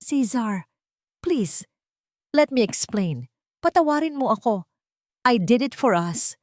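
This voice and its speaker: A woman's voice: on the high side, animated, slow, a little mellow, no room sound, clean studio sound, pitched above the performer's own voice. A woman voicing a scheming, panicky young bride in a dramatic audio storybook. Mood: pleading. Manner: pleading, protesting.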